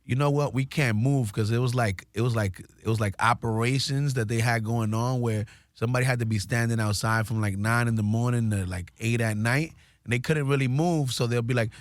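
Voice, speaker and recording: A man's voice: medium pitch, fairly even intonation, fairly quick, hardly any pauses, dry recording. New guy, easygoing storyteller, lotta you-knows, dry close sound. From New York, USA. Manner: laid-back, many "you know" and "like" fillers. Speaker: guest, business founder. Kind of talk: casual storytelling. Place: studio.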